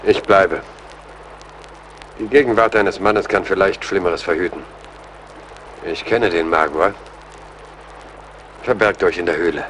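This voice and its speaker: Young army major, fairly low voice, gallant, earnest, urgent; radio drama, faint battle noise. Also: male voice, unhurried, long pauses, a bit bright, rough recording. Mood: tense, resolute. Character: young male army major, anxious but gallant officer. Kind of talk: German radio play acting.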